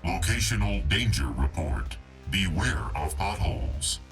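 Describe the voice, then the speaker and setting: A man voicing a blunt, literal robot translator for a video game hero, with deadpan, clipped announcements and robotic processing. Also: low voice, measured pace, faint music behind, full character voice. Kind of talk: video game character voice lines.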